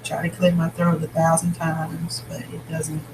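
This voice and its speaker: A novelist with a raspy, scratchy voice talks through a story outline in a casual vlog, thinking aloud with pauses and restarts. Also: medium pitch, completely flat delivery. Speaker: novelist outlining their book.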